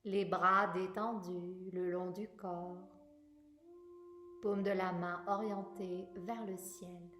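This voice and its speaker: A woman leads a guided relaxation as a yoga nidra teacher. She speaks very slowly and soothingly, with long pauses between short instructions. Her voice sits at a medium pitch in a roomy, echoing sound, with music underneath. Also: little pitch movement, pushed and bright. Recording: roomy echo, music bed.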